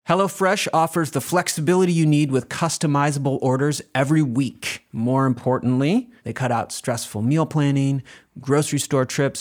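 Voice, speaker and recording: Brief: male podcast creator, mid-pitched, conversational scripted ad read. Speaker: show creator reading a sponsor message.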